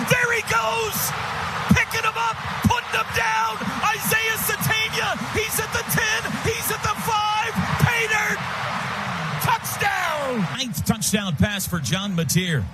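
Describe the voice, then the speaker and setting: A man's voice: very high voice, lively intonation, unhurried, shouting, over loud music. An American man calling live football on the radio as play-by-play announcer, in clipped, urgent phrases. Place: stadium radio booth. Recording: sports radio broadcast with stadium noise. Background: clear stadium noise.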